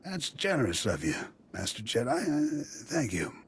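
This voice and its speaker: A dour desert militia commander in a video game. He has a husky, mid-pitched voice and gives orders plainly, like a seasoned fighter. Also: very animated, moderate pace, full character voice. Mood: grim, respectful.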